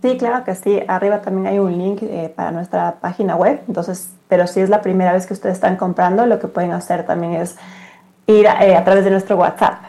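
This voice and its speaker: Female guest, business representative, soft-edged dark timbre, mid pitch, casual explanatory delivery. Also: fairly even intonation, brisk, creaky. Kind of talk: casual explanatory interview talk.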